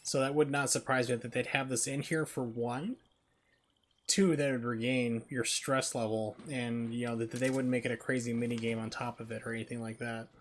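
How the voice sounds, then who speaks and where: A man's voice: mid-range voice, steady tone, brisk, a little hiss or hum. Casual man streaming a video game. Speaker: livestreamer. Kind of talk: casual gaming stream commentary.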